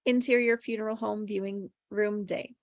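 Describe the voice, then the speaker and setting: Casting: woman stage-directions reader, creaky fry, dry close sound, scripted table-read narration. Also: medium pitch, steady tone, moderate pace, no echo.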